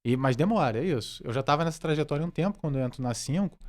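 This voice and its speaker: A man's voice: on the high side, expressive, racing, darkish tone. A Brazilian man talking casually and reflectively as a podcast guest, feeling his way through his thoughts. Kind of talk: casual conversational interview.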